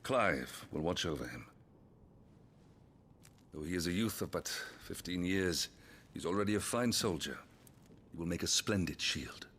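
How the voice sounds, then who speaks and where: Deep-voiced, weary British archduke. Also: male voice, lively intonation, measured pace, long pauses, a bit bright, slight background noise. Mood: firm, defensive. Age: middle-aged. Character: weary, dutiful archduke and loving father. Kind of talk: video game cinematic acting.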